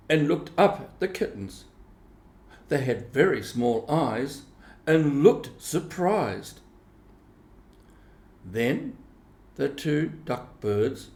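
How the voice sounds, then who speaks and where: A man narrates a children's storybook at a slow, deliberate pace. His pitch swings widely as he dramatises each phrase, and the room rings with echo. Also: darkish tone. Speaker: storybook reader. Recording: echoey room.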